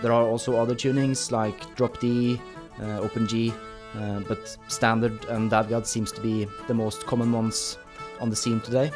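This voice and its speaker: A man's voice: mid-range voice, level tone, moderate pace, few pauses. A man hosting an instructional guitar video in a conversational interview style.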